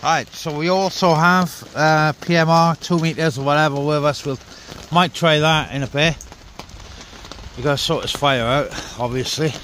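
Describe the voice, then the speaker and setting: Higher-pitched man vlogging outdoors over noise. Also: steady tone, normal speed, a bit bright. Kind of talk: casual vlog chat to viewers. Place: outdoors in a wet forest camp. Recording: outdoor camera with clear background noise.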